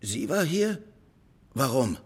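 A man's voice: mid-range voice, sing-song, slow, slightly rough, exaggerated voice. An actor playing a cocky, evasive small-town tough guy in a radio drama, with a wry manner. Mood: incredulous.

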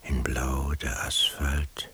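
A weary, obsessive man on surveillance thinks aloud in a radio play. His voice is extremely low and crackles with fry, breathy to a near whisper. He broods slowly and deliberately over each phrase. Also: little pitch movement, pushed and bright, exaggerated voice. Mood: brooding, tense. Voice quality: breathy, gravelly, half-whispered. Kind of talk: radio drama interior monologue.

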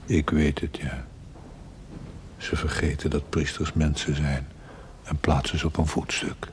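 An actor playing a wry, teasing confidant in a television drama, with a Dutch accent and a hushed, sardonic delivery. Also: male voice, extremely low pitch, flat delivery, measured pace, slight background noise. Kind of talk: television period drama acting.

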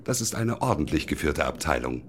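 Pompous hospital official, animated. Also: male voice, extremely low pitch, a little fast, a little hiss or hum. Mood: indignant, smug. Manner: pompous, condescending. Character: pompous, defensive male hospital official, proudly Prussian. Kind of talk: German radio drama acting.

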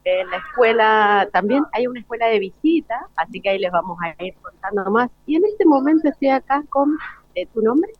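A woman's voice: fairly high pitch, lively intonation, moderate pace, no room sound. Argentine woman hosting live radio, dull sound. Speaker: radio host. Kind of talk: live outside broadcast interviewing. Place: school event, remote broadcast. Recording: dull lo-fi radio broadcast link.

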